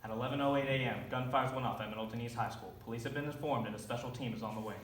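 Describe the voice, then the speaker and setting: A woman's voice: very deep voice, racing. A woman acting declamatory, theatrical roles in a readers theater, heard in an echoey, noisy stage recording from a distance. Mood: solemn.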